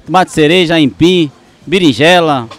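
A man's voice: fairly high pitch, normal speed. A middle-aged man, giving short answers as a farmer at an outdoor market stall. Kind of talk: brief interview answers. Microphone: handheld reporter microphone.

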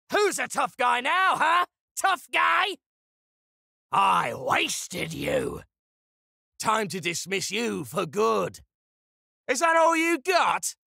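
Male actor as boisterous caveman brawler, taunting game barks, sharp forceful tone, swooping pitch, gravelly. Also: no echo, studio quality, exaggerated voice. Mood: gloating. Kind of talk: video game character voice lines.

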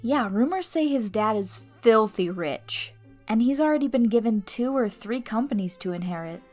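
Casting: woman playing a gossipy high-school girl, slightly high voice, knowing, manga dub with music behind. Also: expressive, normal speed, a little mellow, natural voice. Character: teasing, gossipy teenage schoolgirl friend. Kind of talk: manga fandub acting.

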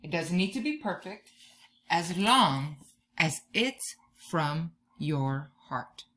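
Bright, very animated woman reading to children. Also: deep voice, slow pace. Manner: expressive, draws out key words, asks listeners questions. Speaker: teacher reading a picture book to children. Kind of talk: expressive children's read-aloud. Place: bedroom or room at home, indoors.

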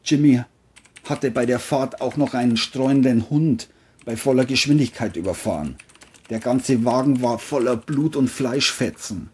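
A man narrates a scripted crime audio story. His voice is mid-pitched for the reading and has a husky edge. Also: a little slow, darkish tone.

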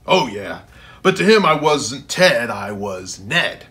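Guy doing a big goofy storybook imaginary pal, breezy and keen, bright voice. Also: medium pitch, very animated, takes it slowly, pitched below the performer's own voice, heavily acted. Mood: wistful, easygoing. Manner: breezy, enthusiastic.

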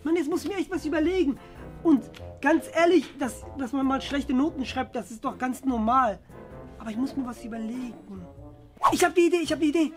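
A man's voice: very high voice, a little fast, faint music behind. A young man playing an evasive, whiny teenage schoolboy in an improvised family sketch, hesitant and defensive. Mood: scheming. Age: young adult. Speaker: actor in a family web series. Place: small bright rooms indoors.

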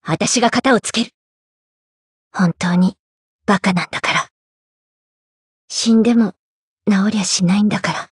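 A woman's voice: mid-range voice, unhurried, long pauses, natural voice. Echoing, cool young woman fighter, played by an actress. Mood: exasperated. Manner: blunt, confident. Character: tough, cool young woman martial arts master in youthful form. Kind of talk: video game character voice lines. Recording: echoey, long reverb effect.

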